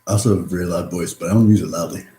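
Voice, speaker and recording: A man giving a rambling, reflective vlog monologue, mumbling and halting, with drifting pauses between phrases. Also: sits low, fairly even intonation, warm tone. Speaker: vlogger. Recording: roomy echo.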